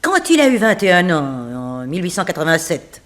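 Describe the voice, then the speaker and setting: An actress playing a composed, proper housekeeper of seventy in a French radio drama, matter-of-fact and formal. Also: female voice, fairly low pitch, big pitch swings, normal speed, fairly bright tone. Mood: frank, matter-of-fact. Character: composed seventy-year-old female housekeeper, frank and proper.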